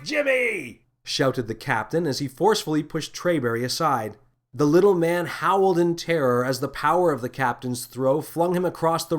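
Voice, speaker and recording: A man reading scripted sci-fi fiction as an audiobook narrator, in a dramatic storytelling style. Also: a little high. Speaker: author and narrator.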